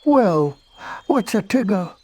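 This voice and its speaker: A man voicing a gentle, naive, friendly cartoon bear in a mild, earnest way. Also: high-pitched, very animated, slow pace, breathy edge, exaggerated voice. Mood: timid.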